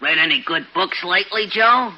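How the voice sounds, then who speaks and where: Casting: pompous radio-play know-it-all, piercing bright tone, animated delivery, muffled old recording. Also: male voice, high voice, moderate pace, full character voice. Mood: mocking. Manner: pompous, mocking. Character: conceited, sneering male artistic rival and pianist. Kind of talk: 1950s radio drama acting. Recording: muffled, faintly noisy vintage radio.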